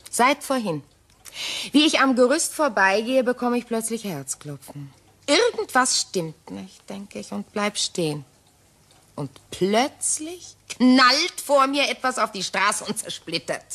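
An actress playing a shaken, wistful ageing widow in a radio crime drama, with halting, pause-filled delivery. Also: female voice, fairly high pitch, very animated, a bit bright, heavily acted. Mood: agitated recounting.

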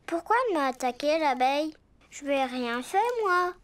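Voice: high-pitched, normal speed, a bit bright, no room sound. A performer voicing an eager, tender little boy bear cub in a preschool cartoon, with simple childlike exclamations. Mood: puzzled. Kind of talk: French preschool cartoon acting.

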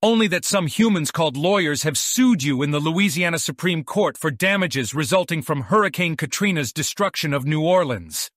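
A man's voice: on the high side, animated, normal speed, hardly any pauses, a bit bright, no room sound, clean studio sound. An actor playing a sly, irreverent male archangel in an audiobook, speaking with mock deference. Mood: slyly respectful. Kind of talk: audiobook character acting.

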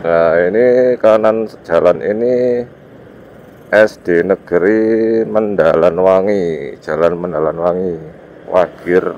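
Male motovlogger, East Javanese accent, congested from a cold. Very deep, informal riding commentary, muffled outdoor recording with faint road noise. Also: slow, pauses often, a little mellow. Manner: informal, speaking in short loose phrases to viewers. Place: outdoors, riding a motorbike on village roads. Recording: dull muffled sound, motorbike ride noise. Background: vehicle and road noise.

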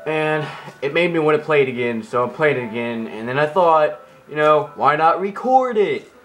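Hyper, goofy guy with lively swooping pitch. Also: normal speed, poor audio. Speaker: let's play host. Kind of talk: casual gameplay commentary. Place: room at home, beside a TV playing the game. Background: video game music from a TV.

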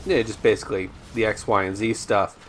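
Slightly raspy man reviewing a game. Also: on the low side, steady tone, fairly quick, fairly bright tone, slight background noise. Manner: rambling, frequent ums and restarts, addresses viewers as guys. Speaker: gaming YouTuber. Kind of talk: casual gameplay commentary.

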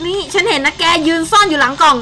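High-pitched young woman, excitable gamer. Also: rapid-fire, over music. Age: young adult. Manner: excitable, exclamatory, muttering reactions while playing. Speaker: gaming show host. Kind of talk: casual gameplay commentary.

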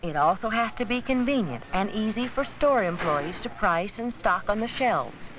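A woman giving measured, scripted narration for an educational film about supermarket shopping. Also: mid-range voice, lively intonation, background noise. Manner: measured, instructive. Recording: dull 1970s 16mm film soundtrack with music.

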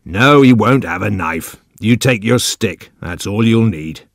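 A man voicing a calm, cunning, commanding old man with slow, deliberate speech in an audiobook story. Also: fairly low pitch, vocal fry, no room sound, studio quality.

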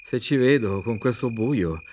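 A man's voice: medium pitch, lively intonation, unhurried, a little mellow, slight background noise, close to an everyday voice. A man playing a brusque, educated young village doctor in a radio drama, speaking incredulously. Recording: insect and frog night ambience. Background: night crickets and frogs.

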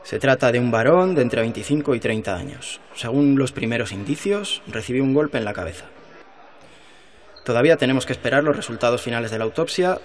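A man's voice: medium pitch, lightly acted. An actor playing a male police spokesman giving a formal, official press statement in a radio drama.

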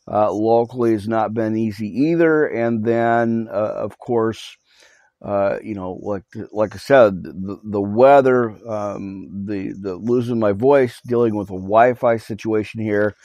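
Low-voiced preacher with halting filler-heavy speech. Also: male voice, a little mellow, dry recording, clean studio sound. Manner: halting, many fillers and restarts; earnest exhorting to repent. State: recovering from losing his voice. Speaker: pastor. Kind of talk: live podcast Bible study and prayer update. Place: small home studio room. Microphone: cell phone.

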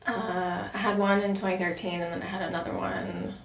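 A woman's voice: on the low side, big pitch swings, a little fast. A woman in her thirties speaking casually as a patient describing her medical history. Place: indoor chiropractic office. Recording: roomy, distant, faint office noise.

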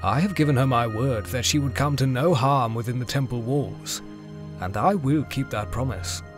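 A man portrays a principled, diplomatic Jedi master in an audio drama. His mid-range voice is polite and measured. Music plays faintly behind. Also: medium pitch, lightly acted. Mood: firm, polite. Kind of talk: fan audio drama acting.

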